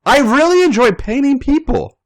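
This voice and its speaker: A man voicing a friendly young woman who is hosting a girls' night, gentle and a little hesitant. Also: very high voice, animated, normal speed, voice pushed up.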